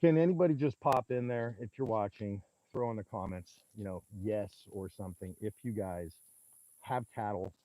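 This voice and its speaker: A man with a booming voice, a rancher, casually explaining grazing math on a livestream from his pasture. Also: normal speed, warm tone, dry recording, rough recording. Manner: casual, folksy, explains step by step. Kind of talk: livestream teaching talk, chatting with viewers. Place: outdoors in a pasture among cattle. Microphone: small mic clipped to his hat.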